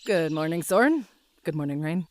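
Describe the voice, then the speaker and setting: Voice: medium pitch, animated, moderate pace, lightly acted. A performer playing a composed, reassuring young trainee in an audio drama, polite and formal in phrasing.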